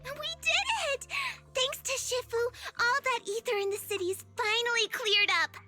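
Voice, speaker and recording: A woman's voice: extremely high pitch, moderate pace, pushed and bright, soft background music. A woman voicing an eager, bubbly young girl disciple who is devoted to her master, in an anime game. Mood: bright, excited.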